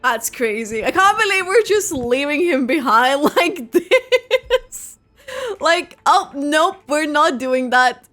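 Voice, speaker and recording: A woman's voice: high-pitched, sing-song, slow, fairly bright tone, soft background music. A young woman giving casual, rambling live reaction commentary on a game, with lots of filler "like". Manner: rambling, heavy use of "like". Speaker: gaming reaction streamer.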